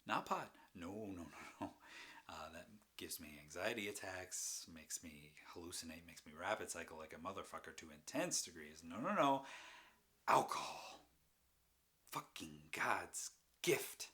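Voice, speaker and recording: Guy acting a tormented bitter man doing a rambling stage monologue, deep voice, really dynamic, halting and sighing. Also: lively intonation, pushed and bright, full character voice. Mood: pleading, defensive. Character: anguished, mentally ill man confessing and defending his drinking. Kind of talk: dramatic stage monologue, confessional.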